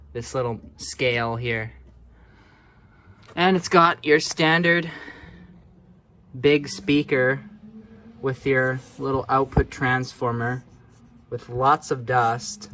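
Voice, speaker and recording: Slow-talking man, casual show-and-tell. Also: medium pitch, a bit bright, slight background noise. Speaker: hobbyist presenter showing vintage electronics.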